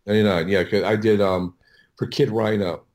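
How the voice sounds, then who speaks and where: Middle-aged male, low voice, producer being interviewed; rambling, stop-start delivery with repeated words. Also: steady tone, fairly quick, a little mellow. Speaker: audio producer, interview guest. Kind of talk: casual interview storytelling.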